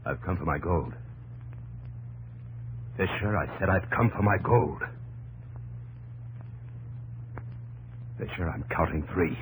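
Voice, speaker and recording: A man's voice: low voice, moderate pace, close to an everyday voice, rough recording. An actor playing a grave, remorseful frontier father in a 1950s radio drama. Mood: threatening. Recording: old radio broadcast with hiss.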